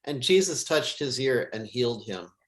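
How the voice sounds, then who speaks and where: A man reads scripture as a pastor would, in a solemn, measured and deliberate liturgical style. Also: mid-range voice, big pitch swings.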